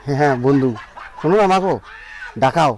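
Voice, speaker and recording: A man's voice: fairly high pitch, fast, lightly acted. A man playing a nosy, hypocritical village father in an amateur comedy skit, with a pushy, scolding manner. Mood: eager, sheepish.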